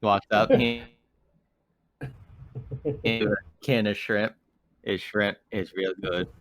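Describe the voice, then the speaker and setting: A man's voice: lowish voice, steady tone, slow. A man chatting casually as a player in a tabletop roleplaying game.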